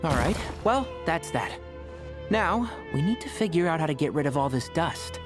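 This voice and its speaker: A man's voice: on the high side, very animated, normal speed. A man voicing a sensible young botanist in a video game, dry and matter-of-fact, with an inquisitive streak. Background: soft game music.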